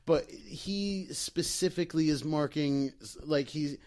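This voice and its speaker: Higher-pitched man riffing casually. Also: darkish tone. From rural farming area. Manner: halting, repeats words as he riffs. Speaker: comedy podcast co-host. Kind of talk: casual comic banter.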